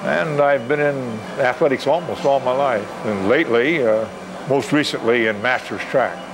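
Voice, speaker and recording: A man's voice: mid-range voice, moderate pace, background noise. A man in his late seventies answering interview questions in a loose, rambling way, with many fillers and repeated words. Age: 70s. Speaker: interviewee, senior athlete. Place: gym.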